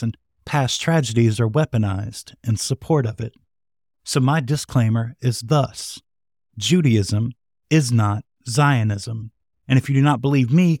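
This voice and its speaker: A man's voice: mid-range voice, darkish tone, no echo, clean studio sound. A man hosting an audio drama, delivering a scripted monologue to listeners in a solemn, emphatic, rhetorical way. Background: music.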